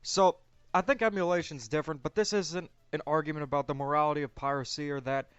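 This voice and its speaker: A man's voice: a little high, fairly even intonation, fast, fairly bright tone, smooth, dry recording, highs cut off. A young man speaking as a discussion host in a casual, conversational style. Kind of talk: casual chat.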